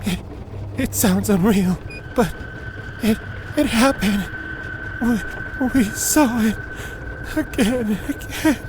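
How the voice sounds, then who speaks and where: A woman playing a traumatized survivor in an audio drama, stammering and repeating herself haltingly over background noise. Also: on the high side. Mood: shaken, frantic. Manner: halting, repetitive.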